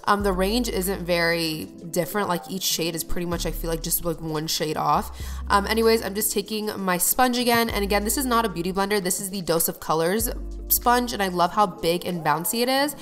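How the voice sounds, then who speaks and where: A woman's voice: medium pitch, brisk, a bit bright, faint music behind. A young adult woman narrating her makeup routine to viewers in an upbeat, chatty way, sounding a little nasal from a cold. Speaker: beauty vlogger. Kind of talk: get-ready-with-me voice-over.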